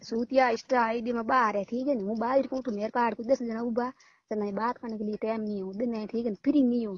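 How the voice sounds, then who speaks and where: A woman's voice: on the high side, steady tone, fast. A woman with a Rajasthani Marwari accent arguing heatedly on a phone call, combative and coarse, swearing. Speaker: caller in a recorded phone call. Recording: muffled, echoey phone call recording.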